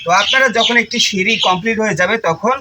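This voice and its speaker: A man's voice: high-pitched, fast talker, noisy background. A man narrates instructions to viewers. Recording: loud music over voice.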